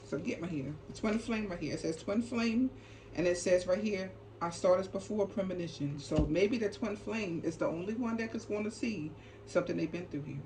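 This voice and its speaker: A woman's voice: on the low side, moderate pace. A woman giving an unscripted, casual spiritual card reading, speaking emphatically and repetitively with frequent short check-in tags. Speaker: tarot reader and channel host. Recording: clearly noisy ambient background.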